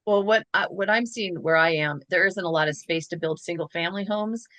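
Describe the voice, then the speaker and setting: A woman hosting a chat show, talking casually with quick asides and rhetorical questions. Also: fairly low pitch, fairly bright tone, dry recording. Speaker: podcast host.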